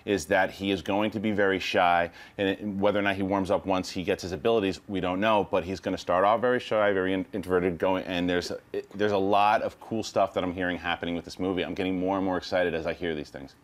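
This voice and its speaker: A man's voice: deep voice, fairly even intonation, fast, few pauses. A man speaking as a movie news panelist in casual conversation.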